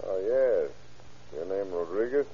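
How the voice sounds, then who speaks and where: A man's voice: low-pitched, expressive, unhurried, mellow, a little hiss or hum. An actor playing a shrewd, dryly persistent American lawman who questions suspects in an old-time radio crime drama. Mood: probing. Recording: muffled vintage radio recording.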